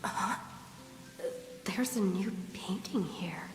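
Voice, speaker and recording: A woman's voice: medium pitch, slow pace, natural voice. A woman playing a curious, plucky young traveler who muses aloud in a video game. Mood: puzzled. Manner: musing aloud to herself. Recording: echoey hall reverb, music behind.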